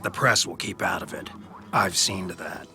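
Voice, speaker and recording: A controlled political campaign manager talks in a low voice with a hard, bright edge. He is calm, guarded and loyal, in a game cutscene with music underneath. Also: normal speed, vocal fry, heavily acted. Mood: discreet, reassuring. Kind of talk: video game cutscene voice acting.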